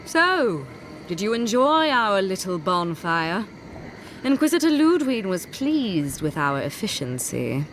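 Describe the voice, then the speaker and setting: A woman playing a smug, zealous inquisitor's agent in a fantasy game, speaking in a measured, formal and condescending way with courteous menace. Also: mid-range voice, big pitch swings, slight background noise. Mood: mock polite. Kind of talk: scripted fantasy game dialogue.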